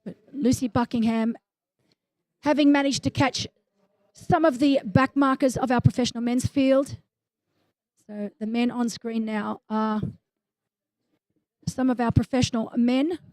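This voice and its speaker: A woman giving live play-by-play commentary on a triathlon in a brisk, enthusiastic way, with friendly asides. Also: fairly high pitch, fairly even intonation, a little mellow, dry recording, rough recording. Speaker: race commentator.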